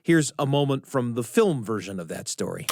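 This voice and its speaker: A man hosting a radio show, reading scripted introductions with deliberate, emphatic phrasing and dramatic pauses. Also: medium pitch, big pitch swings, gravelly, darkish tone.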